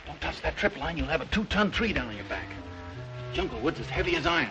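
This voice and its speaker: An actor playing a resolute, protective castaway hunter in a brisk, confident, old-time radio drama style. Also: male voice, a little high, very animated, gravelly, over music. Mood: focused, cautioning. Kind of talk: 1940s radio drama acting. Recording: muffled, noisy old-time radio recording.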